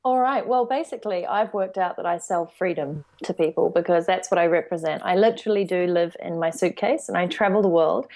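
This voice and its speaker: Upbeat New woman with gravelly low voice. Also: brisk, few pauses, darkish tone. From New Zealand. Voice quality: upbeat, laughs often. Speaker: guest entrepreneur. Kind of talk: casual interview answers. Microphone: remote call from abroad.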